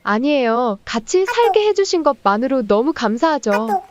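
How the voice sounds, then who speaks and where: An actress plays a deferential young daughter-in-law in an audio drama, polite and beseeching. Her voice is high and very melodic, recorded dry and a bit dull. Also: big pitch swings, moderate pace, a little mellow, no echo. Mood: eager, grateful. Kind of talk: dramatized audio story dialogue. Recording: slightly dull, dry studio sound.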